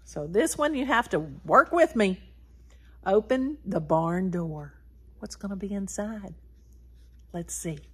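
A woman in her sixties hosting a story time, reading aloud and talking directly to young children in an animated way. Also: mid-range voice, husky. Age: 60s. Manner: animated, addressing children directly, drawn-out words and animal sounds. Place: small wood-paneled room indoors.

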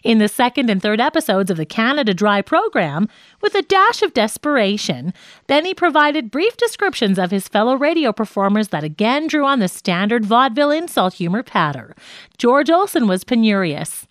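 A woman narrating a scripted nonfiction audiobook in a measured, articulate way. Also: fairly high pitch, very animated, dry recording.

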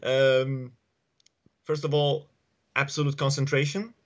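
A man in his forties with an Italian accent, answering interview questions at home in a halting way with many fillers and restarts, speaking on a video call through an earphone mic. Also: medium pitch, flat delivery. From Italy. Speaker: guest. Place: home study with bookshelves. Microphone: earphone headset mic on video call. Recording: dull lo-fi video call audio.

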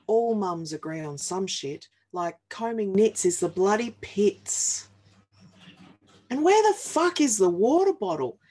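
A woman in her forties with an Australian accent reads comic rhyming verse aloud in a deliberate, wry and playful manner. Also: medium pitch, very animated, mellow. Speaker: reader of a humorous picture book. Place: small home office, indoors.

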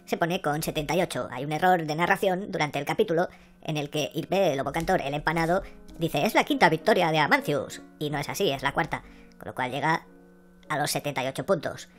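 A man voicing a hoarse, flustered female assistant, who rambles and then reads out rankings. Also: on the high side, a little fast, fairly bright tone, soft background music, pitched above the performer's own voice, lightly acted. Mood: reporting. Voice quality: hoarse, croaky.

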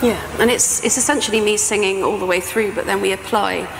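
British woman, middle-aged, chatty presenter with some creak in her voice, reverberant hall with faint noise. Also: medium pitch, a little fast. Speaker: composer and sound art professor presenting. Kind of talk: informal conference talk. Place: stage in a large presentation tent or hall. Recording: echoey hall with background noise.

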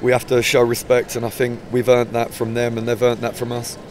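A man in his forties, a football manager with an English accent, giving a measured, hesitant post-match interview outdoors by the pitch. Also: completely flat delivery, darkish tone, slight background noise. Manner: measured, hesitant, many restarts. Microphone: handheld mic held up close.

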